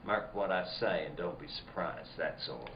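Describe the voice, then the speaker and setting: An actor playing a blunt, needling rural man in a spooky radio drama, folksy and halting in his talk. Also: low-pitched, gravelly. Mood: menacing. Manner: folksy dialect, halting, insinuating. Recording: roomy, muffled, noisy lo-fi recording.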